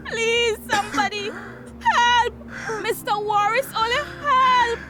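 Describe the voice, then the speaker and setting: An actress playing a chatty, cheeky teenage daughter in a radio drama, with a Tobagonian accent and animated dialect speech. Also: female voice, extremely high pitch, slow, over music. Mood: panicked. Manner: animated, dialect-rich gossiping.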